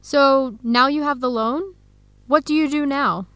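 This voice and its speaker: A young woman playing an earnest researcher searching for her birth family in an educational radio drama, speaking clearly and conversationally. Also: high voice, measured pace, a bit bright, no echo. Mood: warm, upbeat. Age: young adult.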